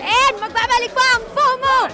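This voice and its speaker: Woman, Filipino accent, very high voice, playful host, mixes English and Tagalog, loud music behind. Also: fairly even intonation, brisk. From Philippines. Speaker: interview host. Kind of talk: lively event interview. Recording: music playing loudly behind.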